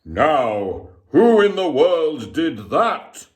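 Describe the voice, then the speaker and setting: A man's voice: on the high side, big pitch swings, extremely slow, voice pushed up, full character voice. A man voicing a suspicious night watchman in a children's storybook.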